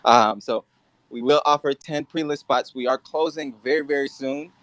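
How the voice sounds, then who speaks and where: A man pitching his project in a casual live audio chat, loose and relaxed, with many fillers. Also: moderate pace, no room sound.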